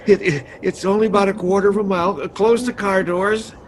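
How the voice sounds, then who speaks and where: A man's voice: high-pitched, fairly even intonation, fairly quick, background noise, natural voice. An actor playing a flustered, well-meaning middle-aged father who stammers, in a radio sitcom. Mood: harried. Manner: stammering, harried.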